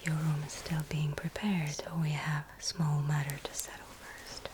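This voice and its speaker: A woman playing a gracious medieval fantasy innkeeper in an ASMR roleplay, speaking in a soft, breathy whisper with gentle, old-fashioned formal phrasing. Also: deep voice, flat delivery, moderate pace, background noise, natural voice. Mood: gentle, mysterious.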